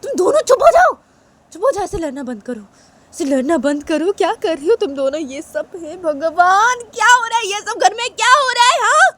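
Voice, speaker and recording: A woman's voice: extremely high pitch, expressive, moderate pace, a bit bright, voice pushed up. A woman voicing a mother who shrieks at her quarrelling children to stop. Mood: frantic scolding. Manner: scolding, shrieking orders. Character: shrill scolding mother breaking up a fight.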